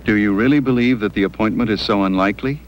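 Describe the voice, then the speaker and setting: An actor playing a sober, courteous documentary reporter, delivering scripted narration and interview questions. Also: male voice, fairly low pitch, fast, slight background noise, lightly acted, rough recording. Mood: sober, inquiring.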